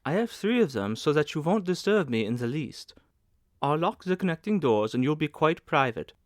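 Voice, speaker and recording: A man's voice: fairly high pitch, very animated, moderate pace, no room sound, studio quality. A man voicing a kindly, bustling, talkative older woman who manages a hotel, in a motherly, emphatic manner for an audiobook. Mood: kindly, instructing.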